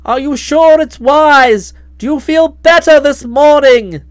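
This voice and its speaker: A woman voicing a bluff, hearty middle-aged English aunt who speaks loudly and bluntly in an audiobook. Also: slow, no echo, studio quality. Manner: loud, booming and blunt.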